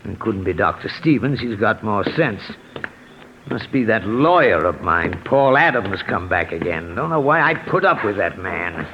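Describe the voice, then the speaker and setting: An actor in a 1940s radio drama playing an embittered, irascible rich recluse, muttering and theatrical. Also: male voice, on the low side, expressive, a little slow. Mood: grumbling, scornful. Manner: crotchety, theatrical muttering and outbursts. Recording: muffled, noisy 1940s radio recording.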